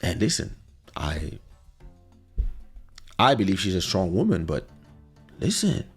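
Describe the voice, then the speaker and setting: A man's voice: deep voice, big pitch swings, takes it slowly, breathy edge, soft background music. A man from Paris, born in Congo, speaking English with a French accent in an emphatic, reflective, unscripted podcast monologue, repeating phrases for emphasis. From born in Kinshasa, Congo, raised in Paris from age seven. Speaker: podcast host.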